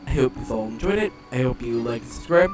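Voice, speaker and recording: A man's voice: mid-range voice, expressive, quick pace, a little mellow. A man hosting a gaming video, casually commenting and reading game lines aloud. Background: game music.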